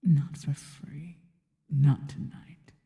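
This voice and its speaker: A woman voicing a devoted, worshipful spider monster girl in a soft, breathy, intimate ASMR whisper, speaking slowly and reverently. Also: deep voice, steady tone, muffled recording, exaggerated voice. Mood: possessive, teasing. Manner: slow, deliberate, reverent.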